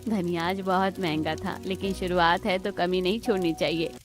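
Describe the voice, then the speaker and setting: A woman's voice: lowish voice, steady tone, normal speed, soft background music, close to an everyday voice. A woman voicing a caring, practical mother-in-law in a cartoon story, motherly and encouraging.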